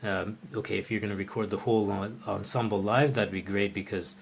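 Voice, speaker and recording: A man with a fairly low voice gives a live oud lesson, chatting through viewers' questions. He thinks out loud, stopping to restart sentences and filling pauses with ums and uhs. A faint hiss sits under his voice. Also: vocal fry. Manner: thinks aloud with frequent fillers and restarts; relaxed, conversational. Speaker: oud teacher.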